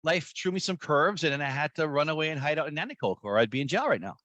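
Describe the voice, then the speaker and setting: Man, from hawaii, middle-aged, guest comedian, dry self-mocking jokes, bright forceful voice, dry sound, video call. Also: fairly high pitch, expressive, fast, no echo. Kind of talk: casual podcast chat.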